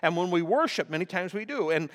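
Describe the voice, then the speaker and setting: A man preaching a sermon with emphatic delivery, often clearing his throat, his voice a little crackly. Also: high-pitched, animated, fast talker, a bit bright. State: slightly crackly voice. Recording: long reverb of a large hall.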